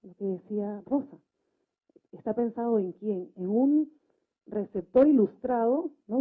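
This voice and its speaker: A woman taking part in a debate, giving an analytical critique in a questioning way with frequent short tag questions. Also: mid-range voice. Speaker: debate participant. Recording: muffled, narrow-band live recording.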